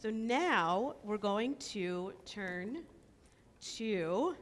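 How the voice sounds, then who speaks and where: A woman welcoming guests and thanking helpers at a synagogue service in a chatty, upbeat way, laughing now and then. Her voice sits at a middle pitch. The sound trails off with a long reverb in a large sanctuary. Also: expressive, drawn-out words. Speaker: congregation leader welcoming guests. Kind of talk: casual spoken welcome and thanks.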